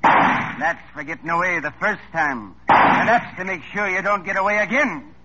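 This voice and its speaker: A man's voice: high-pitched, moderate pace, heavy background noise, close to an everyday voice. An actor playing a vengeful male killer who taunts his victim, in 1950s radio drama style. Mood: menacing. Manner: menacing, taunting. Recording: dull old radio recording.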